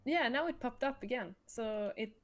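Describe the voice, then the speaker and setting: A woman's voice: fairly high pitch, lively intonation, moderate pace. A woman hosting a casual livestream, explaining and answering questions about a game she created, a little coyly.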